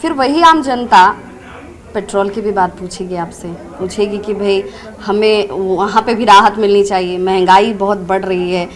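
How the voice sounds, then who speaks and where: A woman's voice: medium pitch, a little slow. A woman working as a TV news interviewer, putting long, pressing questions in a political interview.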